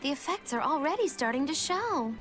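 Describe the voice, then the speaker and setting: A woman's voice: high voice, sing-song, fairly quick, music in the background. An actress playing a teasing, theatrical, amused woman in a video game, with an arch, playful delivery.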